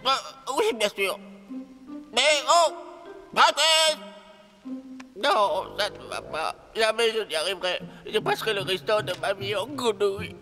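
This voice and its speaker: A timid cartoon frog, high-pitched and plaintive. He speaks with anxious hesitation, in a long reverb with music playing behind him. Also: sing-song, a little slow, pushed and bright, full character voice. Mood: fretful. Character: timid, fretful frog who is an enchanted prince. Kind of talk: French cartoon dub.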